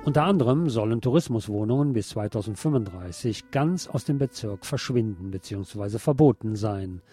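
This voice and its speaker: A man presenting radio news as scripted commentary, speaking deliberately with a wry edge. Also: lowish voice, expressive, over music.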